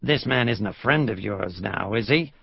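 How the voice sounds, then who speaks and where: A man's voice: expressive, moderate pace, creaky, slightly rough, no echo, highs cut off, heavily acted. A man playing a menacing, sarcastic police lieutenant. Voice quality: menacing, low-pitched. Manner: sarcastic, barking. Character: overbearing, menacing, self-important male police lieutenant. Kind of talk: audiobook character voice.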